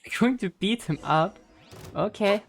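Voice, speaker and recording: A woman's voice: mid-range voice, unhurried, vocal fry, soft background music. A woman gaming streamer casually reacting to a game and reading dialogue choices aloud.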